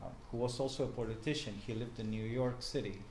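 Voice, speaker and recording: A man's voice: medium pitch, fairly even intonation, a little mellow. Argentine man lecturing in an echoey room. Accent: Argentine Spanish. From Argentina (Buenos Aires). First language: Spanish. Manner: halting, many fillers and restarts, asks the room questions. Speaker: guest speaker, journalist and professor. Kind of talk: informal lecture to a group. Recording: echoey room, faint noise.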